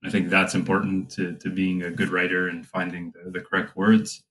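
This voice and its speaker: Low, flat-voiced man in easy chat. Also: moderate pace. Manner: reflective, with frequent fillers. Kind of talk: casual conversation.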